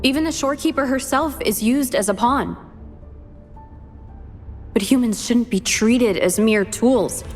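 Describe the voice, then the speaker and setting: A resolute, humane woman leader from a video game, played by an actress. Her voice sits a little high and she speaks in earnest, measured speeches. Faint music plays behind her. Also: natural voice. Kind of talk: scripted game voice acting.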